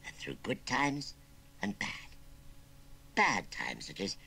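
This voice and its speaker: Old scarecrow uncle, West Country accent, husky, very animated, folksy, sentimental, put-upon, storybook character. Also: male voice, fairly high pitch, moderate pace, voice pushed up, heavily acted. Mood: mournful, wistful. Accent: English West Country. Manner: rambling, folksy, plaintive.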